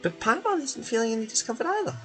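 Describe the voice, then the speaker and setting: A man voicing a small, excitable, indignant floating companion from a game, whiny and exclaiming. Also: very high-pitched, fast talker, faint music behind, voice pushed up, full character voice. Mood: reluctant. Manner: exclaiming, refers to self in third person.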